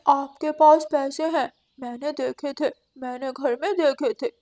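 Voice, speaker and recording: A woman's voice: sits high, fairly even intonation, a little slow, darkish tone. A woman voicing a whiny, insistent little boy crow who pleads in a children's cartoon. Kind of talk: Hindi children's cartoon dubbing.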